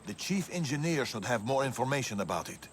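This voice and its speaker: A man playing a grave, guarded older Persian assassin and father in a video game, speaking in a measured, serious way. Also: mid-range voice, animated, slight background noise, lightly acted. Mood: businesslike. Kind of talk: video game cutscene acting.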